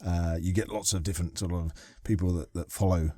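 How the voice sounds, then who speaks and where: Male podcast host, very low and mellow voice, rambling questioner, dry mic. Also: fairly even intonation, a little fast, breathy edge, no echo. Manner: rambling questions, frequent restarts. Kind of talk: casual podcast interview.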